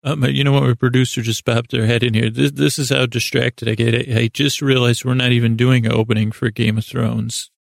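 A man with a creaky, gravelly voice hosting a sleep podcast, delivering a meandering, hesitant, self-deprecating bedtime monologue full of trailing asides. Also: mid-range voice, little pitch movement, fairly quick, few pauses, no echo, studio quality. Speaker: podcast host. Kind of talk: rambling bedtime monologue meant to lull listeners to sleep.

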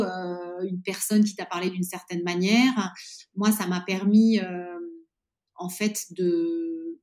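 A woman's voice: mid-range voice, darkish tone. Chatty French woman in her thirties. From France. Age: 30s. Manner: hesitant, many fillers and restarts. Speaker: podcast guest, entrepreneur. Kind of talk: casual interview chat.

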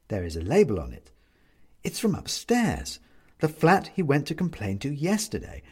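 A man narrating a literary story in an expressive, wry manner with deliberate phrasing. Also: medium pitch, slightly rough.

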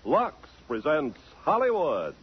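A man's voice: a little high, huge pitch swings, slow pace. An American man announcing and reading folksy scripted advertisements in the style of 1950s radio. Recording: muffled 1950s radio broadcast.

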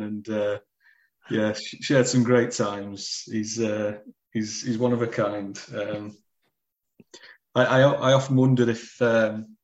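A man, a football journalist, answers podcast questions in a friendly, measured way, restarting a few words here and there. His voice is fairly low. The line sounds dull and lo-fi, like a remote call.

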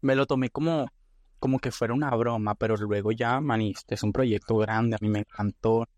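A man's voice: mid-range voice, steady tone, moderate pace, a little mellow, no echo. A man co-hosting a casual podcast, talking in a playful, joking and theatrical way. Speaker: podcast co-host.

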